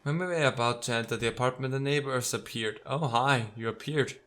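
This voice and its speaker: Bright-voiced man reading a game aloud. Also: medium pitch, a little fast. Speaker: let's player. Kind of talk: reading visual novel text aloud with casual commentary.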